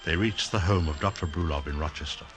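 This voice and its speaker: An actor narrating a classic radio drama as a grave, measured storyteller, in dramatic 1940s style. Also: male voice, very deep voice. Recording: old radio broadcast, prominent orchestral music.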